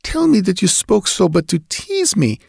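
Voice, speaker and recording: A man voicing a young, devoted woman who implores her lover in a soft, imploring way. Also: mid-range voice, huge pitch swings, normal speed, creaky, slightly rough, no echo, clean studio sound, pitched above the performer's own voice, exaggerated voice. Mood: pleading.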